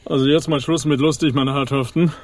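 An actor playing a pompous, indignant official held captive in a radio crime comedy, blustering and officious. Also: male voice, mid-range voice, steady tone, fairly quick, close to an everyday voice.

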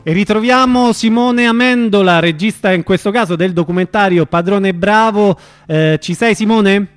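A woman's voice: mid-range voice, moderate pace, no echo, clean studio sound, soft background music. A woman hosting a live radio interview in an informal, conversational way. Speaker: radio host.

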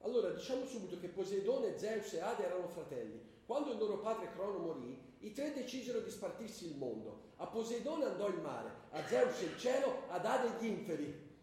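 A slow, prudish male pupil recites and grumbles in a stage comedy. The voice moves in lively swings. It is heard from a distance in a very echoey room. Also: sits high. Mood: reciting. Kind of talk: comic stage dialogue for radio theatre. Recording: very echoey, distant theatre microphone.

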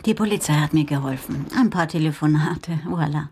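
Eccentric woman scientist, deep female voice, wry, self-assured, radio crime drama, faint room noise. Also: fairly quick, darkish tone. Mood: wry, brisk.

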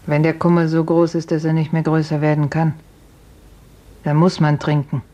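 A woman playing a worldly, consoling older peasant in a 1950s radio drama, low and plain-spoken. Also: monotone, moderate pace, mellow, dull audio, natural voice. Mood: resigned urging. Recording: faintly noisy 1950s radio recording.

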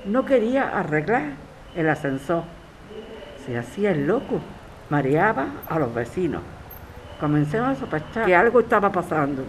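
A woman's voice: fairly low pitch, big pitch swings, moderate pace, low-quality recording. A woman playing a defiant grandmother who resists eviction, interviewed in a radio drama. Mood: troubled, indignant. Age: older. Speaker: interviewed tenant. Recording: clear ambient street noise.

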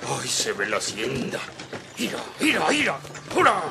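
A hearty Mexican country hand in a radio drama. His gravelly voice leaps up and down as he chatters folksily, with clear outdoor noise of hooves and birds behind him. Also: mid-range voice, animated, measured pace. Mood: hearty, urging. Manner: folksy, hearty calling to the animal. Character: rustic, chatty, friendly farmhand driving a horse.

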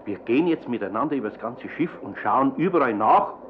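An actor playing a good-natured, gently grumbling older man with a Bavarian accent in a German children's radio play. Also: medium pitch, lively intonation, brisk, natural voice. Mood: soothing. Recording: muffled, noisy old radio play recording.

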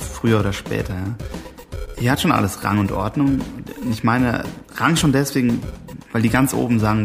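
An actor playing a self-important hacker courier, speaking in a casual, insistent monologue full of jargon and tag questions. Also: male voice, on the low side, natural voice. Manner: colloquial, insistent, tags phrases with "ja?" and "ne?". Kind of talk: casual interview-like monologue in radio play. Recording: music behind the voice.

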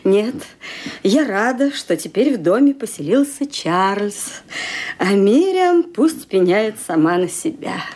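A woman's voice: medium pitch, sing-song, slow, a little mellow. An actress playing a stubborn, querulous older woman, a wealthy aunt in a Russian audio drama. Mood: indignant.

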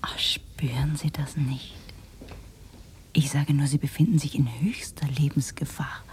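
Low mellow female voice, young mysterious syndicate agent, teasing menace, cool, cryptic, radio drama, noisy ambience. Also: steady tone, unhurried. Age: young adult. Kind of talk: German radio drama acting.

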